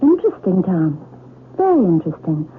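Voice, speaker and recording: An actress playing a poised, polite young wife of a professor in a radio drama, with a theatrical manner. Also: female voice, fairly low pitch, big pitch swings, a little fast. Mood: poised, probing. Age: young adult. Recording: tinny, noisy old radio broadcast.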